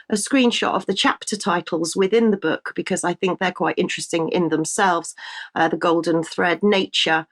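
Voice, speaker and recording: A woman with a British accent presenting a news show, her voice a little croaky, chatting with fillers and restarts. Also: fairly low pitch. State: slightly croaky voice. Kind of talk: casual news commentary.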